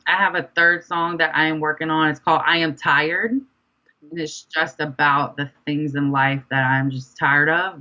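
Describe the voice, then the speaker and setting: Bright-voiced young woman, muffled lo-fi audio. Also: deep voice. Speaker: guest rapper and songwriter being interviewed. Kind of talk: casual interview chat.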